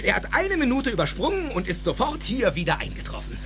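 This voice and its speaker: An actor playing an excitable, eccentric older scientist in a German audio drama, theatrical and emphatic, with precise technical phrasing. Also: male voice, very high voice, a little fast, faint music behind, full character voice. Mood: proud, explaining.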